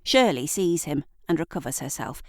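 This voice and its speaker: A woman's voice: deep voice, lively intonation, dry recording. Woman narrating drama, dry close sound. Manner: deliberate, dramatic storytelling. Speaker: writer and narrator of an audio drama. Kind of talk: scripted literary narration.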